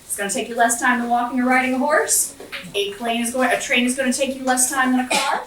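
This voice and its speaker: An American woman from West Virginia giving a lively motivational talk to an audience, speaking loudly and projecting her voice while walking around. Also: sits high, quick pace. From Southwestern West Virginia, USA. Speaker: guest speaker and center director. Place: large indoor event room. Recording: echoey room, voice from far off, faint noise.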